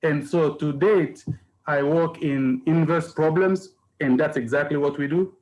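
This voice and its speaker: A man's voice: a little high, unhurried, darkish tone, a little hiss or hum, low-quality recording. A man in his fifties, a scientist introducing himself in a webinar over a video call, explaining in a good-humored way. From Cameroon. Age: 50s. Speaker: scientist panelist.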